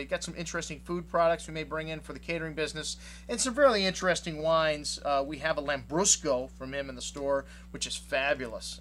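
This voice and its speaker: A man's voice: mid-range voice, a bit bright, a little hiss or hum. A man who runs a wine shop, talking casually through his tasting notes and rambling, with many fillers. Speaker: wine shop owner reviewing wines.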